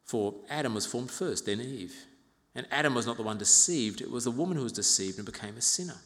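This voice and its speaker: A man in his fifties from Uganda preaching an expository sermon as a pastor, in a measured, reflective way with pauses and gentle asides. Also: medium pitch, lively intonation. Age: 50s. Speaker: pastor preaching to own congregation. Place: large reverberant church interior. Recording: reverberant hall.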